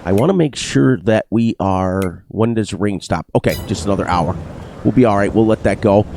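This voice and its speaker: Upbeat man, slightly nasal, mellow voice. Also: lowish voice, normal speed, vocal fry, a little hiss or hum. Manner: upbeat, sing-song repetitions, excitable. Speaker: gaming channel host. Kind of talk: narrated gameplay commentary.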